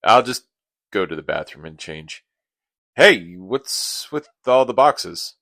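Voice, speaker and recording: A man's voice: on the low side, big pitch swings, takes it slowly, creaky, pushed and bright, dry recording, full character voice. A male actor playing a laid-back, sardonic best friend in a radio comedy play, dry-witted and wisecracking. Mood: playful.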